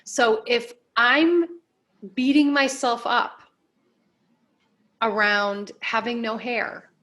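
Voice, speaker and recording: A woman being interviewed as a life coach, giving advice in deliberate, emphatic phrases with measured repetition. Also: fairly high pitch, fairly bright tone.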